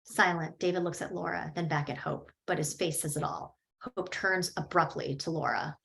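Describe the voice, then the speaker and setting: A woman narrates the stage directions of a screenplay in a steady, measured way. Her voice sits slightly low. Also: vocal fry, low-quality recording. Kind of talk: screenplay table read narration.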